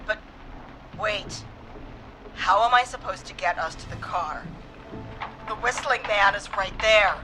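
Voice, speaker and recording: An actress voicing a frightened female police dispatcher in a horror video game, speaking urgently and haltingly. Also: medium pitch, pushed and bright, soft background music, low-quality recording. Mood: anxious.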